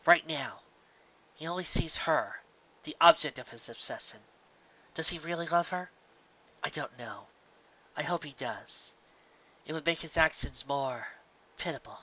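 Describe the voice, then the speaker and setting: A reader as an elder pony princess, calm, wise, weary, halting and measured, storybook figure, slightly dull recording. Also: medium pitch. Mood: pensive. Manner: measured, halting, few words.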